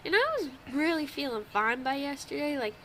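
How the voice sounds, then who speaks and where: Woman, high voice, congested nose, casual podcast chat, cheap home mic, faint noise. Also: brisk, a bit bright. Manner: chatty, swears freely, laughs often. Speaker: podcast co-host. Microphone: old home microphone.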